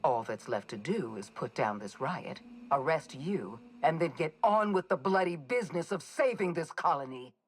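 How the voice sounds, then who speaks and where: Game antagonist: stern ruthless commander, husky breathy tone, formal and coolly menacing. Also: female voice, deep voice, expressive, normal speed, few pauses. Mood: stern, resolute. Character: cold, stern military commander, weary and ruthless. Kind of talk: video game villain monologue.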